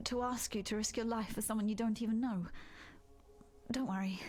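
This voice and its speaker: Actress as an earnest, high-voiced worried woman. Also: fairly even intonation, fairly quick, a little mellow, natural voice. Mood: hurt, apologetic. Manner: pleading, earnest. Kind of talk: video game character acting.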